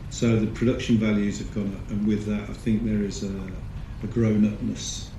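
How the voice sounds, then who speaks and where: A man on a panel giving thoughtful, measured answers about his work. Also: on the low side, level tone, dark tone. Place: large screening hall. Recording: echoey hall with audience noise.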